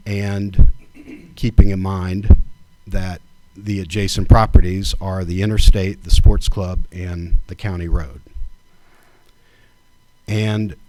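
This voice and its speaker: A man reading a formal zoning proposal at a township meeting, measured and deliberate, item by item. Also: deep voice, level tone, dark tone, no room sound, poor audio. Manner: measured, deliberate, reads items one by one. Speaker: township trustee.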